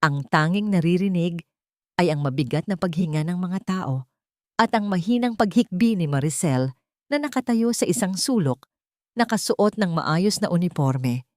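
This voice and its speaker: A woman's voice: fairly low pitch, animated, moderate pace, darkish tone, no echo, studio quality. A woman storyteller reading dramatic scripted fiction narration.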